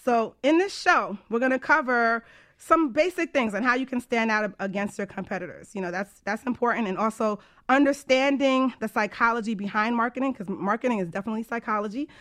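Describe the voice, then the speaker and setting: Higher-pitched woman hosting talk radio. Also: fairly quick, fairly bright tone. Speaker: radio show host and business coach.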